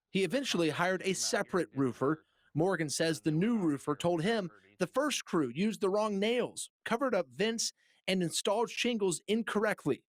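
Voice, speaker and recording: A man's voice: high-pitched, no echo. A man reporting as a TV investigative journalist, reading scripted narration for a news package.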